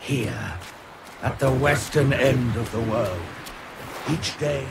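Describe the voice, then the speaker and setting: An actor playing a proud, commanding rat king in a video game, with formal, deliberate, theatrical delivery. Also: mid-range voice, vocal fry, noisy background. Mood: solemn. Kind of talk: video game character acting. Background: music.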